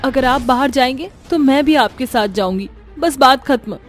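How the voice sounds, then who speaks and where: A woman's voice: high voice, moderate pace, soft background music, close to an everyday voice. A woman playing a worried, devoted village wife in a horror story, speaking earnestly and firmly. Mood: insistent. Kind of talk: dramatized horror story acting.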